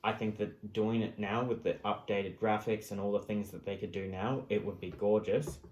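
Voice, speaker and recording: Lower-voiced man chatting, echoey room. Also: steady tone, moderate pace, darkish tone. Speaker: podcast co-host. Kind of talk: casual group podcast chat. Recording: echoey, roomy sound.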